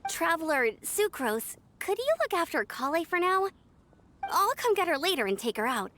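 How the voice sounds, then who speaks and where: A woman's voice: very high voice, very animated, normal speed, sharp tone, exaggerated voice. A woman voicing a friendly, caring, upbeat young female knight with a breezy manner in a video game. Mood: cheerful.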